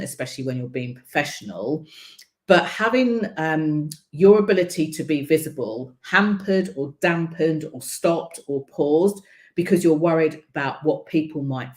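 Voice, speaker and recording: Deep-voiced woman coaching in an echoey room. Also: a little mellow. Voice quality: deep voice without a marked accent. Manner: conversational, many ums and you-knows. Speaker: visibility coach hosting a broadcast. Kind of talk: casual spoken coaching talk.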